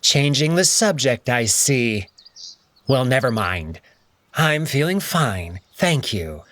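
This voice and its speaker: A man's voice: medium pitch, very animated, takes it slowly, fairly bright tone, slight background noise. A man voicing a haughty, sour male wolf noble who speaks in a clipped, condescending way for a voiced visual novel.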